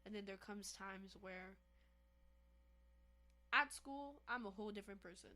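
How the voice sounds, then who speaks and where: A woman's voice: medium pitch, a little slow. Young haitian-born woman cohosting a podcast, loose chatty style, gets fired up and emphatic. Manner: animated, emphatic, addresses listeners as y'all. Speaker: podcast co-host. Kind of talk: casual chat.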